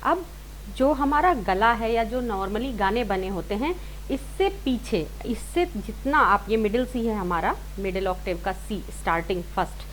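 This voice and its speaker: A woman's voice: medium pitch, slight background noise, low-quality recording. A woman teaching a singing lesson step by step, informally rephrasing and repeating her points and mixing in English words. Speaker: singing teacher, series host.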